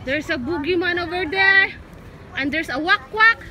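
A woman's voice: very high voice, normal speed, crowd noise. A young adult woman giving a casual family vlog tour outdoors in a park, pointing things out to viewers, recorded on a handheld phone. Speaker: family vlogger. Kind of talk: casual vlog narration while walking. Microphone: handheld phone camera. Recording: outdoor phone audio with noise.

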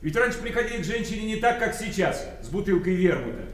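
An actor playing a jovial, pushy middle-aged son-in-law in a radio play, coaxing and flattering with endless rhetorical questions. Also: male voice, sits high, quick pace. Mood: coaxing, persuasive. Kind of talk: Soviet-era radio play acting. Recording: roomy, distant, faintly noisy radio play.

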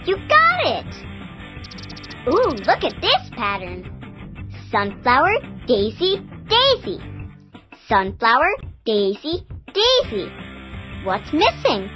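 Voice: sits high, wildly swooping pitch, slow pace, pauses often, bright, forceful tone, faint music behind, heavily acted. A child-voiced performer playing a cheerful, encouraging little girl who coaches young players in a sing-song, exclamatory way in an educational cartoon game.

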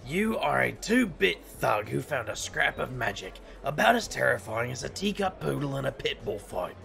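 An actor playing a cocky street magician hero in an audio drama, sardonic and flippant. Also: male voice, medium pitch, sing-song, moderate pace, vocal fry, a bit bright, soft background music, full character voice. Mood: taunting. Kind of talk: audio drama acting and first-person narration.

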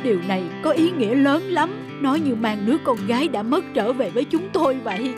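A woman's voice: high-pitched, expressive, a little fast. A woman playing an emotional, grateful dog owner in a cartoon, effusive and earnest. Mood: overjoyed, grateful. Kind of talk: cartoon voice acting. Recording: music playing behind the voice.

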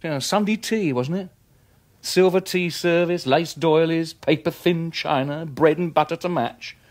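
An actor playing an irritable, wheedling English husband in a radio drama, blustering and stammering in colloquial speech. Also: male voice, on the high side, measured pace, natural voice. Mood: resentful, sarcastic. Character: irritable, wheedling working-class husband, sarcastic and resentful. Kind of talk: 1980s British radio drama acting.

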